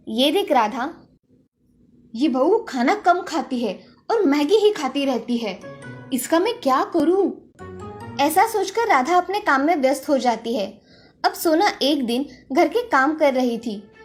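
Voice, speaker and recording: A woman narrates a moral story in a high voice. She reads from a script as a storyteller. Faint music plays behind her.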